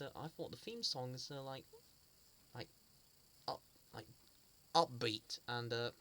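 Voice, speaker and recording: A man fondly recalling a children's show he loves, speaking in an earnest, halting way with frequent restarts and repeated words. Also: medium pitch, sharp tone, slight background noise. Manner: earnest and fond. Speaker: fan sharing memories. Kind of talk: casual spoken reminiscence.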